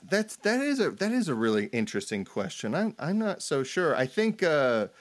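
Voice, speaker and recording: A man co-hosting a casual chat about game mastering, talking in a halting, rambling way and often repeating words. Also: a little high, big pitch swings, no room sound. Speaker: podcast co-host.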